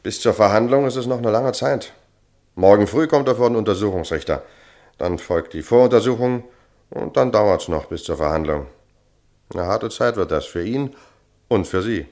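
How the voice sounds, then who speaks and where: An actor playing a seasoned, matter-of-fact police sergeant in a German crime radio play, measured, dryly cynical and authoritative. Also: male voice, fairly low pitch, darkish tone, natural voice. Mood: dry, cautioning.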